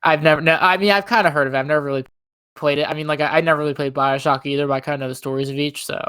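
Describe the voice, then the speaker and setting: A man's voice: fairly high pitch, steady tone, rapid-fire, a bit bright, clear, resonant tone, no room sound. A man co-hosting a podcast, chatting informally and loosely with plenty of "like" and "I mean". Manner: hedging, informal, leans on "like" and "I mean". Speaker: podcast co-host. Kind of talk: casual chat.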